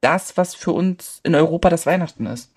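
A German woman who lives in China, chatting as a guest and telling things in a lively way with many fillers. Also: fairly low pitch, moderate pace. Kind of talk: casual conversation. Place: China, living abroad.

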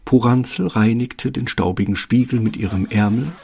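A man's voice: mid-range voice, moderate pace, creaky, darkish tone, breathy edge. A man narrating a children's fairy tale as a storybook narrator, with a wry, tongue-in-cheek touch. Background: music.

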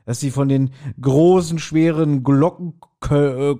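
A man's voice: a little high, steady tone, slow, warm tone. A man co-hosting a podcast in casual chat, speculating and playfully nitpicking with a joking manner. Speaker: podcast co-host. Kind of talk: casual chat discussing an audio drama.

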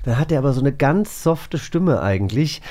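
A man's voice: mid-range voice, animated, normal speed, a little mellow. A man hosting a podcast, chatting casually and nerdily about audio dramas. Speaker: host of a radio play podcast. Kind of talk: casual nerdy chat.

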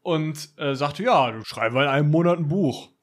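A man's voice: fairly high pitch, normal speed. A man chatting as an author guest on a podcast in a colloquial, jokey way. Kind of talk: casual interview chat.

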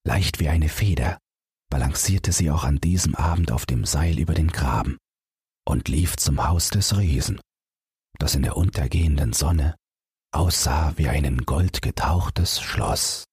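A man with a husky, gravelly voice reading a bedtime fairy tale as an audiobook narrator in a measured storytelling way. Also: very deep voice, darkish tone, no echo, studio quality.

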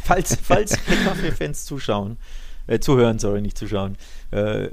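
A man's voice: lowish voice, very animated, moderate pace, vocal fry. A man co-hosting a casual football podcast, giving opinions in a colloquial, analytical way. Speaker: podcast co-host.

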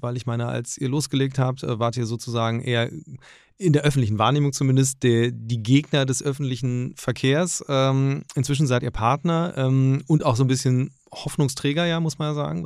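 A man hosting an interview podcast, his voice a little gravelly at a middle pitch. He builds his questions slowly, searching for words with plenty of fillers. The recording is dry and close. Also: a little mellow, dry recording. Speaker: podcast host.

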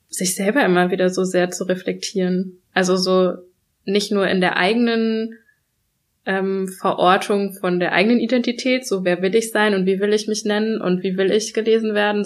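Young woman, mid-pitched, thoughtful conversational guest who thinks aloud with fillers. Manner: thoughtful, many fillers and restarts, laughs when quoting others. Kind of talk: reflective casual conversation.

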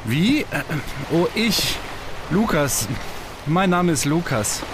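A man's voice: on the high side, very animated, takes it slowly, noisy background. A man playing an awkward, nervous young stage mentalist in a science-fiction radio play, stammering and hesitant. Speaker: voice actor in an audio drama.

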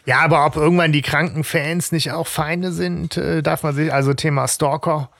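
Gravelly, fairly high-pitched man, dry close sound. He co-hosts a fan podcast and recaps the story in quick bursts of casual chat. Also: steady tone, fairly bright tone, no room sound. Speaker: podcast co-host.

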